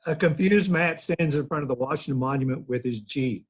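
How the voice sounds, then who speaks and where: A man's voice: medium pitch, a little fast, breathy edge. A man in his thirties reading scripted stage directions at a table read over a video call. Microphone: computer on video call.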